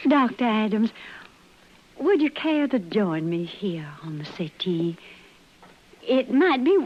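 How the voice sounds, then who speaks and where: An actress playing a flirtatious, gracious hostess in a 1950s radio western, speaking softly and coyly with a breathy touch. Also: female voice, medium pitch, very animated, takes it slowly. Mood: tender, coy. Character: flirtatious, gracious lady hostess courting the town doctor. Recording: muffled vintage radio recording, faint hiss.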